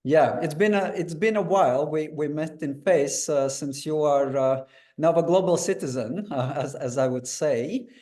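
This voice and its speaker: A man's voice: fairly high pitch, moderate pace, darkish tone. A man hosting a webinar over a video call, talking in a friendly, loose, conversational way. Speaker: webinar host. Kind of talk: webinar interview.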